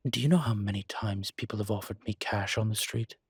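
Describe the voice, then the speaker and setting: A man playing a suave, proud incubus demon in a roleplay audio drama, speaking in a husky voice with wry, articulate, emphatic phrasing. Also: lowish voice, brisk, dry recording, clean studio sound. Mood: indignant, protective. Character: suave adult male incubus demon, proud, wry, protective of his kind. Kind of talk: roleplay audio drama, intimate conversational monologue.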